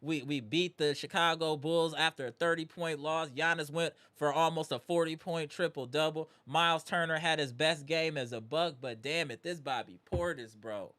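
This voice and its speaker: A man hosting a live postgame basketball reaction stream, animated and greeting viewers in the chat, his voice strained from yelling. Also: a little high, normal speed, hardly any pauses, no echo. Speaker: livestream host.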